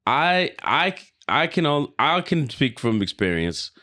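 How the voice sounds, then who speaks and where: A man's voice: on the high side, sing-song, measured pace, bright, forceful tone. A man co-hosting a podcast, chatting casually and giving his opinions in slangy talk peppered with swearing. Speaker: podcast co-host.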